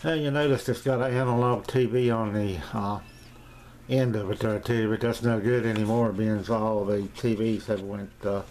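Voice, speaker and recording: Low-voiced older man, rambling and hesitant. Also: steady tone, normal speed, darkish tone, poor audio. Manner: rambling, hesitant, repeats himself. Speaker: amateur radio hobbyist giving a shack tour. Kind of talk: casual, unscripted home video tour. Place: small home radio room, indoors. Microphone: handheld camera's built-in mic. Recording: handheld camcorder with clear background noise.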